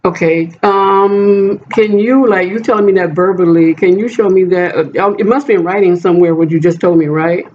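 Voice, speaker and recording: A man's voice: sits high, normal speed, few pauses. A man on a phone call as a job applicant, talking in an insistent, repetitive way. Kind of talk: phone conversation. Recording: dull noisy phone call recording.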